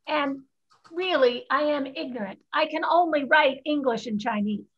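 A woman's voice: high-pitched, normal speed, natural voice. A woman reading the part of a proud, blunt fifteen-year-old Chinese girl in a staged play reading over a video call. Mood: proud, bitter. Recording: muffled video-call audio.

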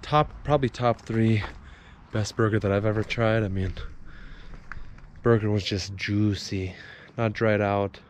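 A young man chatting casually to a handheld camera outdoors by a lake, relaxed and chatty. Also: on the low side, unhurried, slight background noise. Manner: relaxed, chatty, excitable when fishing. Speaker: outdoor vlogger. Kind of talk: casual vlog chat. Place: outdoors by a lake among pines. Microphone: camera held at arm's length.

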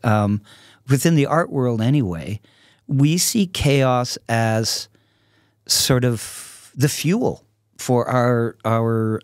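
A man in his sixties speaking casually and reflectively as a podcast guest, in a halting, thoughtful way. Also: mid-range voice, dry recording, studio quality. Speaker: podcast guest, artist and songwriter. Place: small podcast studio with curtains. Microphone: close podcast microphone on a stand.

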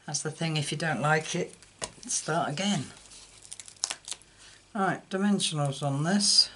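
A woman's voice: sits low, slow pace, a bit bright, a little hiss or hum. An older woman chatting through a card-making tutorial in a casual, self-deprecating way. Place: indoor craft table. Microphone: camera over a desk, hands only.

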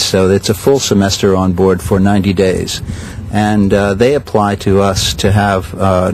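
An older man with a low, fairly flat voice speaks in a measured, unhurried way and pauses often to search for words. He answers an interviewer's questions outdoors. Faint background noise sits under his voice. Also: low-quality recording. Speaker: sailing school director. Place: outdoors at a regatta.

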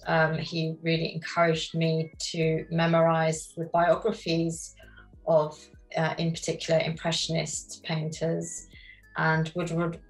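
A middle-aged woman from London, answering interview questions in a measured, reflective way over a video call from her home studio. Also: low voice, creaky, low-quality recording. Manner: reflective, measured, with frequent ums. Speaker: guest artist. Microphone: video call webcam.

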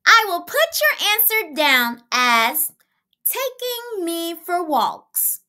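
A woman voicing an eager, inquisitive young schoolgirl in a storybook, with a sing-song, excited way of calling out. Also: very high voice, takes it slowly, voice pushed up, exaggerated voice. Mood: pleased.

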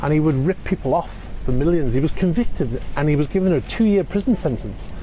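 Man in his forties, selfie vlogger on a dock, mellow voice, faint outdoor noise, loose rambling delivery. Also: on the high side, lively intonation, a little fast, low-quality recording. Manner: chatty, rambling, with frequent fillers and restarts. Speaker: yacht vlogger. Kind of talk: casual walk-and-talk vlog. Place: outdoor marina dock in cold wind. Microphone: phone held at arm's length, selfie framing.

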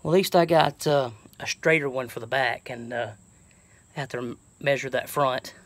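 A man's voice: medium pitch, normal speed, a bit bright. Folksy Southern American man, thinking aloud. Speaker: truck camping vlogger. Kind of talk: casual DIY chat to camera. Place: outdoors and inside a truck bed by an open garage. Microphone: handheld phone at arm's length.

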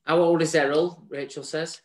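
A man in his forties co-hosts a chat show from home over a video call. His voice sits a bit high, and his talk is chatty and jokey, with loose banter and the odd swear word. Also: level tone, moderate pace. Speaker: co-host. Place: home room with virtual bar backdrop. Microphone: video call webcam.

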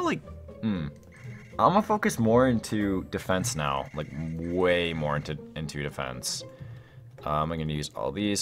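A young man giving casual gameplay commentary in an excitable, slangy way. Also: extremely low pitch. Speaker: gaming video host.

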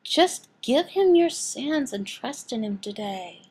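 A woman voicing a signed sermon as an interpreter, speaking deliberately, phrase by phrase with pauses. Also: on the high side, animated, darkish tone, breathy edge. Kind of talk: sermon and prayer, voiced from sign language.

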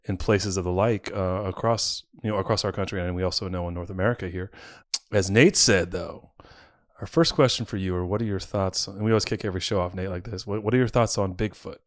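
A man's voice: lowish voice, no echo, studio quality. A man hosting a podcast, chatty and enthusiastic, often repeating words as he talks. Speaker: podcast host. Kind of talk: casual interview chat.

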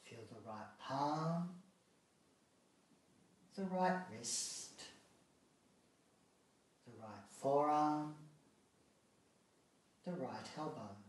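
A man guiding a relaxation practice as a yoga instructor, speaking calmly and soothingly in short phrases with long pauses. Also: on the high side. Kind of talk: guided meditation, calm step-by-step instruction. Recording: very echoey room, distant mic.

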